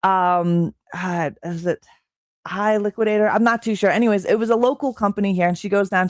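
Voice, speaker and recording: A young adult woman streaming from Canada, chatting casually with her viewers in an excitable, filler-heavy way, with a slightly strained voice. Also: mid-range voice, no room sound, studio quality. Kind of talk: casual live-stream chat reading chat.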